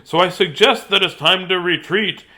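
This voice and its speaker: A man voicing a pompous, bellowing army general from a storybook, barking out booming commands. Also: moderate pace, pushed and bright. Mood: blustering.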